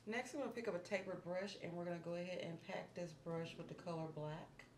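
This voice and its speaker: A slightly hoarse woman in her thirties walks viewers through a makeup tutorial in a calm, instructive voice that drops toward a murmur as she works. Her voice sits fairly low. The small room around her sounds echoey, with a steady hiss underneath. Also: fast. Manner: calm, instructive, softens to near murmur while working. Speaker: beauty vlogger. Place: small indoor room against a plain wall.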